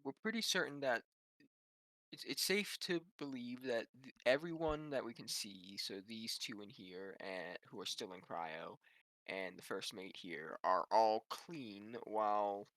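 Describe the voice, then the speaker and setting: A man's voice: lowish voice, unhurried, fairly bright tone, dry recording, rough recording. A man playing a tabletop game, thinking aloud with stammering starts. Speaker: player. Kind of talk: casual chat in a tabletop game.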